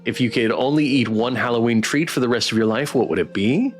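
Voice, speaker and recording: A man's voice: mid-range voice, expressive, a little fast. A man streaming a game, chatting casually as he reads game text and viewer comments aloud. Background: game music.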